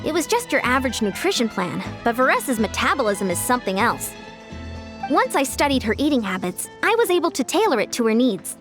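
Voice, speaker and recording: A woman's voice: high-pitched, big pitch swings, brisk, music in the background. A woman voicing a confident, supportive young fitness coach in a video game, assured and instructive. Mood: explanatory. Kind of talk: English video game voice acting.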